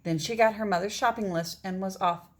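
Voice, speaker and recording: A woman's voice: mid-range voice, brisk. Woman reading a picture book aloud. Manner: expressive, pointing out pictures to listeners. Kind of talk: children's read-aloud with casual asides.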